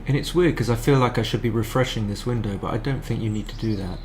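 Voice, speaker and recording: A man's voice: fairly low pitch, fast talker, a little hiss or hum. A man giving casual live commentary on a match as a streamer, chatty and thinking aloud with frequent restarts.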